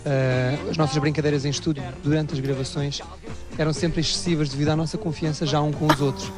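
Quick high-voiced man telling anecdote. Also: flat delivery. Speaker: band member. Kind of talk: interview anecdote. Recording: archive clip with music behind.

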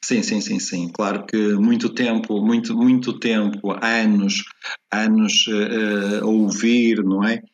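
A man's voice: on the low side, level tone, measured pace. A man with a European Portuguese accent explaining things conversationally as an interview guest, repeating words for emphasis. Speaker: interview guest, expert on language.

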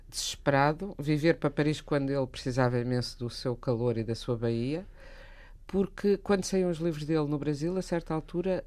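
A woman's voice: low voice, warm tone. A woman, telling a literary anecdote in a thoughtful way. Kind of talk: casual literary chat.

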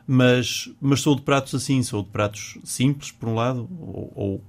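A man's voice: mid-range voice, unhurried. Chatty man with a northern Portuguese accent. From Porto, Portugal. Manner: chatty, hesitant, repeats words, laughs easily. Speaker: musician and radio guest. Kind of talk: casual interview chat. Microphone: studio microphone.

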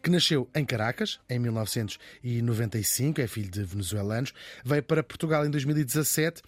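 A man hosting an interview, brisk and playful as he questions his guests. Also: mid-range voice. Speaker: host.

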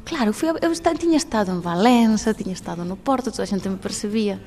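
A young woman with a Galician accent tells her story on the radio, her voice lively and quick to laugh. Her pitch sits in a middle register, and faint music runs underneath. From Galicia, Spain. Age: 20s. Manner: lively, laughs often, mixes Portuguese and Galician. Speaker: guest. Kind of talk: radio testimony.

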